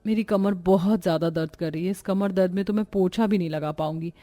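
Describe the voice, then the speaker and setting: A woman's voice: lowish voice, fairly quick, mellow, no room sound, soft background music, lightly acted. Woman playing weary overworked young housewife. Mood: tired pleading. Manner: weary, lamenting.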